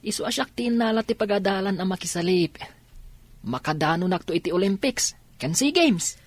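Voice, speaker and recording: A woman with a Filipino accent acting a confident, boastful, privileged young chess player in a radio drama, with a husky voice. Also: medium pitch, expressive, normal speed, no room sound, lightly acted. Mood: confident, breezy.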